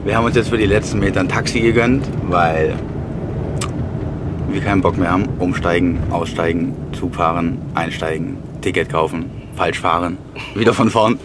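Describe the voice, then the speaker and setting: A man with a fairly low voice talks casually to his own camera at arm's length. His remarks are short and wry, and loud surrounding noise runs all through the recording. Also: steady tone, normal speed. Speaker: fitness vlogger and bodybuilding competitor. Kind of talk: casual vlog chat to camera. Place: on the move: train, taxi, street, hotel, gym. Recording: noisy handheld camera, loud ambient noise.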